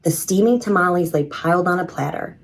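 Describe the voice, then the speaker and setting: A woman reading a children's story in an expressive, measured storytelling manner. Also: lowish voice, slight background noise. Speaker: storybook reader. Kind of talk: scripted children's story narration.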